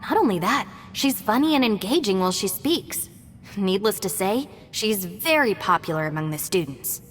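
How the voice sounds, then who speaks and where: A woman voicing a calm, practical young deputy in a video game, composed and matter-of-fact, with long reverb. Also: medium pitch, normal speed, close to an everyday voice. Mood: polite, friendly. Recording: long reverb, music underneath.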